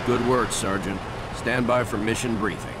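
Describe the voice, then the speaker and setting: An actor playing a steady, middle-aged starship captain giving calm, authoritative orders in a video game cutscene. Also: male voice, fairly low pitch, moderate pace, natural voice. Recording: game audio under loud orchestral score.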